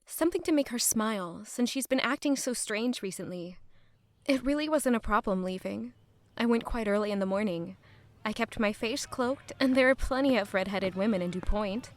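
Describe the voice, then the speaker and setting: A woman's voice: fairly high pitch, normal speed, dry recording, close to an everyday voice. An actress playing a guarded, wistful young lady-in-waiting in an audio drama, speaking earnestly and introspectively. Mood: anxious, regretful. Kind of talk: audio drama narration and dialogue.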